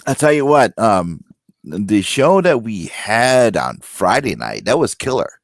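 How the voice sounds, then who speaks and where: A man with a slightly hoarse voice, co-hosting a casual talk show and telling stories in an animated way, acting out what was said. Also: fairly low pitch, unhurried, dry recording, clean studio sound. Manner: animated storyteller, quotes himself and others. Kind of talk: casual banter.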